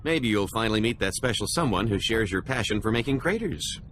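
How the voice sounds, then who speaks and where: A man's voice: fairly low pitch, fairly quick, slight background noise. An actor playing a chatty, scheming con-man sidekick in a video game, wry and salesman-like. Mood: teasing. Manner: wry, animated, salesman-like storytelling. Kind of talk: video game cutscene acting.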